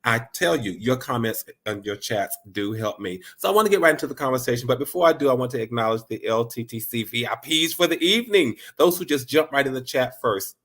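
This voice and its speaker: A man's voice: mid-range voice, expressive, a little fast, hardly any pauses, fairly bright tone. Congested man hosting an upbeat motivational stream. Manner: upbeat, encouraging, repeats phrases for emphasis. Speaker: motivational channel host. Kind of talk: live-streamed motivational talk.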